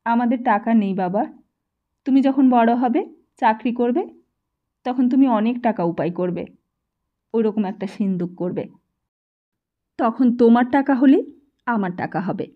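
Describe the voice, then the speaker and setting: Gentle, humble mother, higher-pitched woman's reading. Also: a little slow, long pauses, phone-quality sound, natural voice. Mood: tender. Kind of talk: audiobook character voice.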